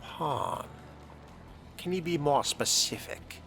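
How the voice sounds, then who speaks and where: A man narrating a video game in character, measured and theatrical, with formal wording. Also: on the high side, very animated, gravelly, bright, forceful tone, soft background music. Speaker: role-play gamer voicing his character.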